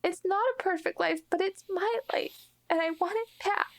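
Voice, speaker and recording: Little girl, played by a woman: very high, fry-edged, stubborn, homesick, pleading game character. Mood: defiant. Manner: pleading, halting.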